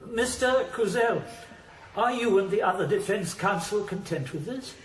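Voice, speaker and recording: An actor playing an authoritative judge who speaks formally while presiding over a trial in a radio drama. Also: male voice, high voice, animated, moderate pace, echoey room.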